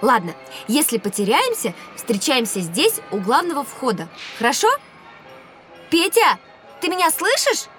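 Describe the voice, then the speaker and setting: Cautious schoolgirl, high voice, animated swooping intonation, scolding and sensible manner, children's audio drama. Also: measured pace, faint music behind, full character voice. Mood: admonishing. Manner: expressive, admonishing.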